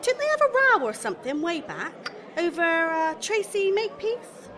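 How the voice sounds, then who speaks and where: A woman's voice: very high-pitched, sing-song, moderate pace, fairly bright tone, some chatter behind, full character voice. A woman acting in a radio play comedy as a chatty, aggrieved young shop assistant, colloquial, gossipy and blunt. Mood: chatty, defensive.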